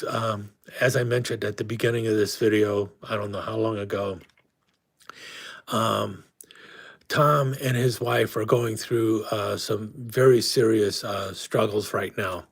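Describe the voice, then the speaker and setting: A man hosting a casual live stream who is losing his voice, talking in a rambling way with many restarts. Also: fairly low pitch. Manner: rambling, frequent restarts and fillers. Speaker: host.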